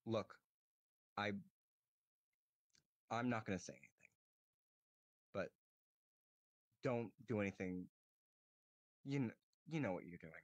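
A man's slightly deep voice, recorded dry on an online call. He talks casually as a tabletop player, slipping into his character's lines. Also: animated, slow, pauses often, dry recording, highs cut off.

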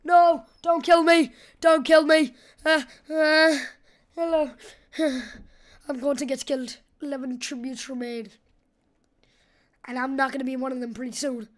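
A woman's voice: high-pitched, fairly even intonation, unhurried, pauses often. A young woman with a scratchy voice giving casual, rambling, slangy gameplay commentary for a video. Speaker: gaming video host.

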